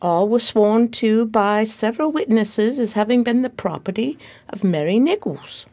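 A woman playing a loyal, long-suffering detective's assistant, narrating and acting in a slightly prim, expressive radio play style. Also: on the high side, moderate pace.

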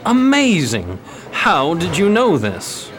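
An actor playing a smitten, indebted gentleman gambler in a radio drama, with formal, florid speech. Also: on the high side, huge pitch swings, slow pace, background noise, heavily acted. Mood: amazed, admiring. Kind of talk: old-time radio drama acting.

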